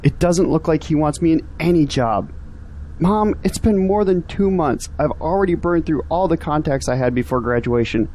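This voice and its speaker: A man plays a young son in an audio drama, frustrated and earnest. His voice sits a little high for a man and has a mellow tone. There is some faint noise in the recording. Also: lively intonation, normal speed, natural voice. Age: young adult. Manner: earnest, complaining.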